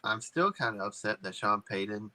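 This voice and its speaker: A man's voice: fairly low pitch, animated, normal speed, sharp tone. A man co-hosting a sports podcast, talking casually and a bit hesitantly, with fillers. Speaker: podcast co-host.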